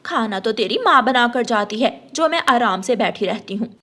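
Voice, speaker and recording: Woman playing a swooping, high-pitched nagging crow wife. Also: expressive, normal speed, bright, forceful tone. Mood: indignant. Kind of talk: Hindi cartoon story acting.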